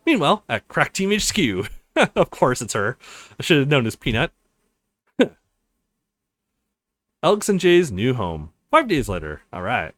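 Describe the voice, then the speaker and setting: A man reading a visual novel aloud as a let's play host, with expressive, dramatized narration. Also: mid-range voice, slow pace, long pauses, no room sound.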